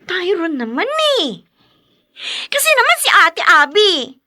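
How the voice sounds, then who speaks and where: A very high, animated voice of a petulant young Filipino mother, acted by a woman. She sounds selfish and whiny, with a bright radio drama delivery. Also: slow, full character voice. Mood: irritated, whining.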